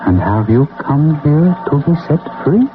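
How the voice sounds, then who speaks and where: A serene, chanting mystic monk. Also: male voice, on the high side, big pitch swings, a little slow, over music. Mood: detached, serene. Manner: solemn, cryptic, chanting cadence. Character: serene, otherworldly monk who spins the fates. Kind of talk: old-time radio drama acting. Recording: tinny, noisy old radio broadcast recording.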